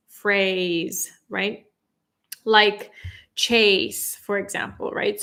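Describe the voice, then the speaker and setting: A woman in her thirties from Moldova, a Romanian speaker, teaching English pronunciation on a live stream, patiently repeating words slowly and chatting casually. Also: medium pitch. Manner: relaxed and chatty with fillers.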